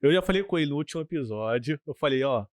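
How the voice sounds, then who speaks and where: Upbeat Brazilian podcast co-host. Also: male voice, fairly high pitch, lively intonation, fast, no room sound, clean studio sound. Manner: enthusiastic, laughing, teasing. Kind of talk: casual chat.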